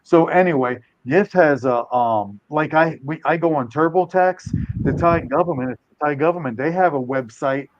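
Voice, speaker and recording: A man's voice: fairly high pitch, moderate pace, muffled recording. An older man from the United States hosting a live stream, rambling, hedging and correcting himself in casual chat. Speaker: host.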